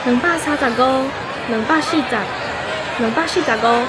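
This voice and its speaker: A woman playing a friendly, patient young cinema ticket-gate girl in a radio drama, with a Taiwanese accent and a brisk way of calling to customers. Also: sits high, natural voice. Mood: counting. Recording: noisy crowd ambience around the voice.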